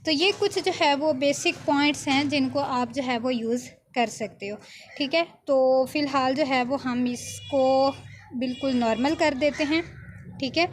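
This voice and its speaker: High-pitched woman teaching. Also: measured pace, a little hiss or hum. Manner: step-by-step, frequent check-in tags. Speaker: video editing instructor. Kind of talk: informal software tutorial narration.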